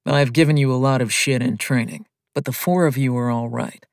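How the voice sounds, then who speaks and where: A narrator voicing a steady, gruff male pilot in charge, in an audiobook. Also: medium pitch, fairly quick, dry recording, clean studio sound, close to an everyday voice. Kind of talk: audiobook character voice.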